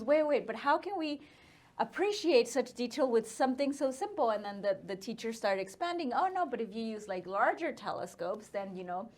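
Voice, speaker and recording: Higher-voiced Mexican woman telling her story. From northern Mexico. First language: Spanish. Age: 30s. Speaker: interview guest, astronomer. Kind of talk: casual conversational storytelling.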